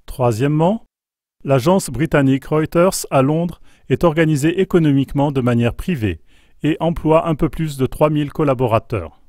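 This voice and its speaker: A man reading factual news narration as a scripted voice-over, measured and plain. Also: on the high side, a little mellow, no echo, studio quality. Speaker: news reader.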